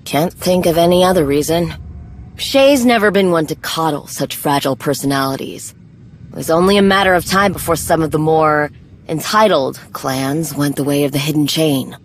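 Game warrior woman, played by an actress: low-pitched, blunt, dry, proud, no time for niceties. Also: normal speed, slight background noise, close to an everyday voice. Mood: wry, analytical. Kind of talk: video game cutscene acting.

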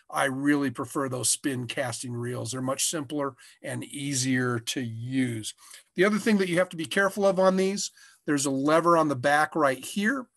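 A man in his sixties with an American accent, giving an informal lesson as an instructor, halting and often repeating his words. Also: on the high side, a bit bright, no room sound, clean studio sound. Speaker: fishing instructor. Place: small indoor room, curtain backdrop. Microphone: webcam video call.

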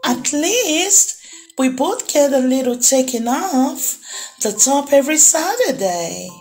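Lady doing tiny jealous grass blade boy, high voice, exaggerated and plaintive, kids storybook. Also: very animated, slow pace, a little mellow, faint music behind, heavily acted. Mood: grudging. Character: jealous, determined little male blade of grass.

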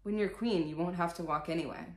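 A woman's voice: on the low side, fast, darkish tone, natural voice. Woman as cruel fairytale mother, pushy and coaxing, commanding delivery, roomy echo. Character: cruel, pushy storybook mother urging her daughters. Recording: echoey room.

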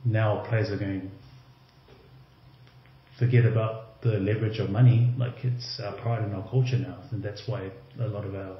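A man's voice: fairly low pitch, fairly even intonation, moderate pace. A man, a former rugby league player, talking casually as a podcast guest and leaning on "you know" and "like". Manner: loose and conversational, many fillers like "you know" and "like". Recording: roomy, slightly dull remote audio.

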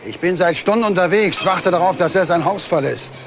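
A man's voice: high-pitched, fairly quick, a crowd in the background. A man playing a tense hired killer in a crime drama, curt and defensive. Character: tense hired killer stalking his target.

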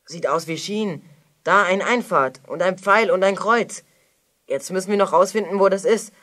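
A woman's voice: mid-range voice, normal speed, a bit bright, lightly acted. A woman playing a clever, self-assured young detective, confident and explanatory, in a children's audio drama. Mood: confident deduction.

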